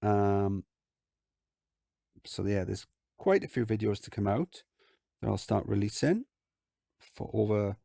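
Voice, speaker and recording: Croaky low man, gravelly. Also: animated, slow, long pauses, mellow, no echo. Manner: rambling, lots of ums and likes. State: croaky voice from illness. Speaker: gaming channel host. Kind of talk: casual let's-play commentary.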